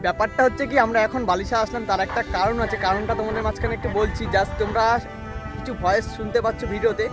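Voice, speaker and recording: A man's voice: high-pitched, fairly even intonation, fast, music in the background. A young man, chatting casually to viewers as a vlogger and often repeating himself, recorded outdoors. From West Bengal, India. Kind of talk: casual vlog narration to viewers. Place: outdoors in an open rural area.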